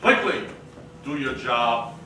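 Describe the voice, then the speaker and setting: A man's voice: mid-range voice, animated, takes it slowly. An actor as a distant, stern vice crusader man. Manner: pompous, clipped commands. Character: stern, officious male anti-vice crusader. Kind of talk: stage acting in a staged reading. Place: small theater, live staged reading. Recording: echoey theater, distant mic, noisy.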